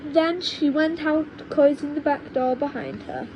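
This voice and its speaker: A woman narrating a children's storybook aloud, halting now and then to reread and correct her words on a low-quality home microphone. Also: lo-fi sound, background noise. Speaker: audiobook reader. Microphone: cheap home microphone.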